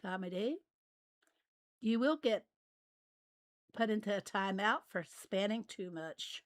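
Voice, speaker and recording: A woman with a raspy voice, chatting casually with viewers while she plays a farming game. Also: mid-range voice, no echo, clean studio sound. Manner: unhurried, chatty, reads out and answers viewer messages. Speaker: game livestreamer. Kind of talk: casual livestream chat while playing.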